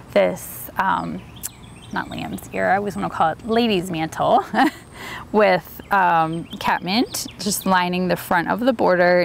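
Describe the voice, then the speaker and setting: A woman's voice: on the low side, gravelly, a little hiss or hum. A woman giving a chatty, enthusiastic walk-and-talk tour of her home garden outdoors. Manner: chatty, enthusiastic, light laughs. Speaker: garden vlogger.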